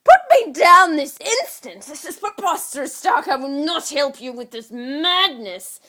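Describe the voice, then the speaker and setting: A woman's voice: high-pitched, sing-song, measured pace, sharp tone, voice pushed up, heavily acted. Woman voicing a pompous, sarcastic little trickster god. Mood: outraged. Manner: haughty, formal wording, indignant.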